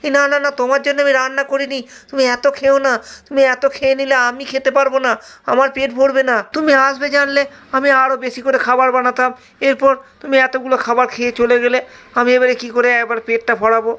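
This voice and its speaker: A man's voice: very high voice, level tone, fast talker, pushed and bright, smooth, pitched above the performer's own voice. A man voicing a hungry, hot-tempered young male disciple who complains in a whiny, indignant way. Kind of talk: storytelling voice acting.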